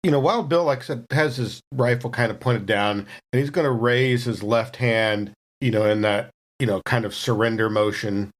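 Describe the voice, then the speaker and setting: A man's voice: mid-range voice, normal speed, few pauses. A man playing a tabletop role-playing game, narrating his character in an improvised, conversational way.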